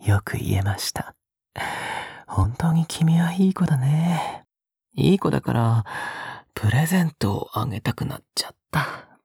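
Woman voicing a possessive captor, low-pitched, slow, soft and breathy, coaxing like one talks to a child, audio drama. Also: expressive, no echo, studio quality, full character voice. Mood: whispered praise. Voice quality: soft, breathy, often dropping to a whisper. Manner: coaxing, teasing, cooing as if to a child. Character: doting, possessive captor coaxing a pet-like captive. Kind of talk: intimate audio drama acting.

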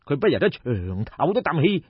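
A man with a Cantonese accent narrating in an animated traditional oral storytelling style. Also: fairly high pitch, moderate pace, husky. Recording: dull, roomy audiobook recording.

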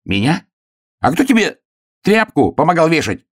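A man voicing an excitable, naive young hare in a storybook tale, blurting and exclaiming in easy astonishment. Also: on the high side, slow pace, no echo, clean studio sound, pitched above the performer's own voice, heavily acted. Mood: indignant, astonished.

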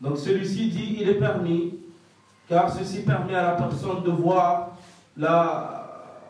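A man's voice: high voice, fairly even intonation, takes it slowly, a little mellow, very echoey, recorded from a distance, low-quality recording. A man whose first language is not French, giving a religious lesson that relays a scholar's answers, and often stopping to search for the right French words. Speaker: religious teacher.

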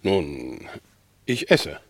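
A man playing an earnest, naive male house dog in a German radio play, with a husky voice and ponderous manner. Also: a bass voice, wildly swooping pitch, drawn-out words, full character voice.

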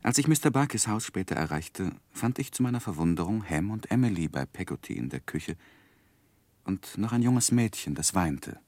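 A grown man looking back on his life in a 1960s radio drama. His voice is low and grave, with a measured, wistful storytelling manner. The sound is close and very dry. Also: lively intonation, a little mellow, dry recording. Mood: reflective. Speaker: narrator.

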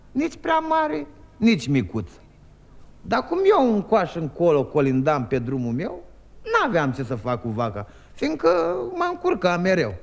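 Merry wandering trickster, rhymes his jokes; high and bouncy delivery, 1950s radio drama. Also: creaky, heavily acted. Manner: jokes in quick rhyming verse. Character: merry wandering male trickster, rhyming jester. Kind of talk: 1950s radio play acting.

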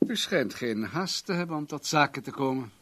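A man's voice: mid-range voice, expressive, moderate pace, a bit bright, a little hiss or hum, natural voice. A man acting in a radio play as a self-assured, rich businessman and bon vivant, lecturing in a leisurely, pompous way. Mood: suave, condescending.

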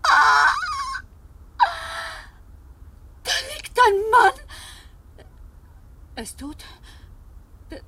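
Callous female blackmailer, radio play, high animated voice, unhurried, dramatic self-talk. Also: gravelly, far from the mic, heavily acted. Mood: shocked. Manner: dramatic exclaiming and muttering to herself. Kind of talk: German radio drama acting.

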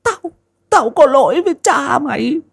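A man's voice: very high-pitched, lively intonation, normal speed, vocal fry, voice pushed up, heavily acted. A man voicing a stern, grieving old mother, scolding shrilly and wailing. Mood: furious.

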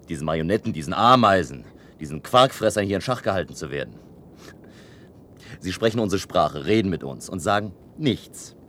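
An actor playing an indignant, baffled male space crewman in a German radio play, halting and emphatic. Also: deep voice, a little hiss or hum. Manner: halting, repetitive, emphatic outbursts.

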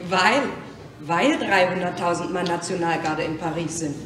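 An actress playing a serious, politically bitter schoolteacher in a German radio play, earnest and pointed. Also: female voice, fairly low pitch, normal speed, natural voice. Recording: echoey, noisy, slightly distant street scene.

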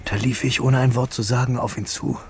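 An actor playing a wistful, pensive young man who narrates his memories in a measured, reflective way for a literary radio drama. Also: medium pitch, fairly even intonation, over music, natural voice. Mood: quietly tense.

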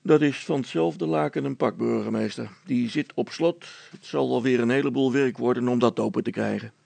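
A man's voice: medium pitch, normal speed, gravelly, darkish tone, no echo. A man voicing a practical, down-to-earth handyman in a children's story, speaking politely in a matter-of-fact, reassuring way. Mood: worried, practical. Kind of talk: children's storybook character acting.

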